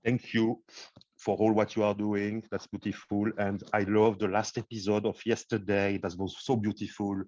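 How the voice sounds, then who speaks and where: A man's voice: fairly low pitch, little pitch movement, normal speed, a little mellow, dry recording. Sick french guy on a video call, coughing a bit, sing-songy broken english, muffled dry audio. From France. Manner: playful, chanting and sing-song, broken English. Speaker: community member. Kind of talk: casual video call chat.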